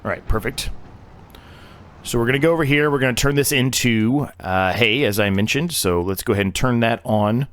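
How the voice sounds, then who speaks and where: A man's voice: medium pitch, moderate pace, fairly bright tone, no room sound. A man losing his voice, giving casual, rambling commentary on a farming game with lots of fillers and restarts. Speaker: game streamer.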